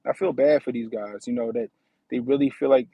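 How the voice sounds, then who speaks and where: A man's voice: medium pitch, fairly quick, vocal fry, slightly rough, dry recording, dull audio. A man in his thirties hosting a casual livestream, talking in a slangy, profane way and often asking "you know what I mean". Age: 30s. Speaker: livestream host.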